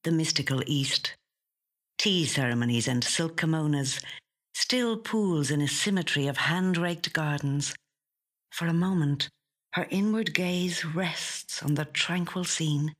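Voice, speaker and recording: A woman actor reading a literary short story in a measured, dramatic and expressive way. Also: low-pitched. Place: recording studio.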